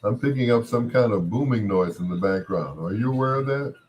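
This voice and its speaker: A man with a deep, booming voice, hosting a late-night radio show in a relaxed, chatty way through a processed studio mic. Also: fairly quick. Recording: noisy background with booming fireworks. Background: firecrackers booming.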